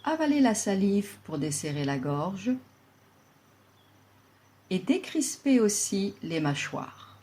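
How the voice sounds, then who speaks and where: Very slow, mellow woman guiding relaxation. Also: medium pitch. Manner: calm, soothing, deliberate instruction with long pauses. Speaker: yoga nidra instructor. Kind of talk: guided relaxation meditation.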